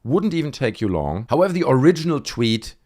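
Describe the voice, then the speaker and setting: A man's voice: mid-range voice, sing-song, a little fast, darkish tone. A man hosting an online video, giving casual, conversational commentary on clips with frequent tag questions. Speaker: YouTube host.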